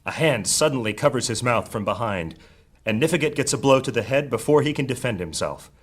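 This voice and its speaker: A man delivering dramatic, scripted narration for a high fantasy story. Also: animated, a little fast, slight background noise.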